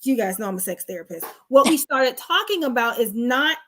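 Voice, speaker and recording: High-voiced woman therapist explaining earnestly. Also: animated, a little fast, fairly bright tone. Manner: earnest, explanatory, asks guest questions. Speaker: co-host and sex therapist. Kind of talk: live online talk show conversation.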